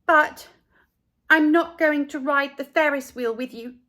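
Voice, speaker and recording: Woman acting a storybook schoolgirl, high-pitched, huffy, indignant, firm, slow-paced. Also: sharp tone. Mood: huffy, defiant. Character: offended, huffy young schoolgirls.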